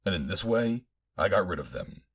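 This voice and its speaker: Husky, animated male audiobook narrator. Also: low voice, moderate pace. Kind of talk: dramatic first-person audiobook narration of pulp adventure fiction.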